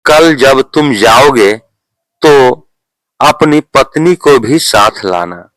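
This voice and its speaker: A man voicing a calm, revered village holy man in a storybook tale, measured and solemn as he instructs. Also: medium pitch, dry recording, low-quality recording. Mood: gently solemn.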